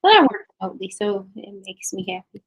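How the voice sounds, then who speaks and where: A young woman from the United States hosting a casual video-call interview, asking relaxed questions and giving easy affirmations. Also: medium pitch, fast, husky. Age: young adult. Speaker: interview host.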